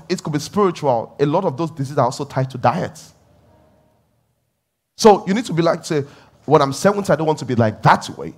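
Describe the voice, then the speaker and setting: A middle-aged man preaches to his congregation in an easy, conversational way. His voice sits at an ordinary middle pitch. He circles back over his key phrases and lifts into emphatic bursts to drive each point home. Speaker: pastor. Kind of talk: sermon.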